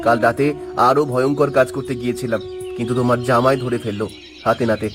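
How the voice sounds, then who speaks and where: A man's voice: mid-range voice, flat delivery, brisk, close to an everyday voice. A man as a meek, guilty son, music behind. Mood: remorseful. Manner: hesitant, stammering, repetitive. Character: meek, guilt-ridden grown son, easily led. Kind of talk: cartoon drama voice acting. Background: prominent music.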